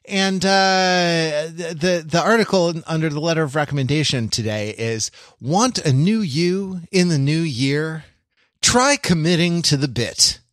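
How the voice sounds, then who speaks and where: Rambling man with a higher-pitched voice. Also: fairly bright tone, dry recording, clean studio sound. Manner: rambling, halting, circles back and repeats phrases. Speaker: podcast co-host. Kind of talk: casual podcast chat.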